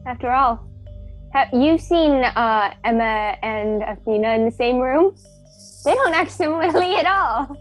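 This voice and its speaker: A woman chats as a player in a tabletop game, her voice slightly high. She talks hesitantly and thinks aloud as she goes. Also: animated, unhurried, faint music behind. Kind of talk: casual tabletop game chat.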